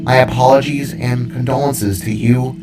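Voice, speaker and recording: A man voicing a tiny, solemn, philosophical cube creature in a story, speaking in a measured, remorseful way. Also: medium pitch, steady tone, far from the mic, over music, lightly acted, low-quality recording.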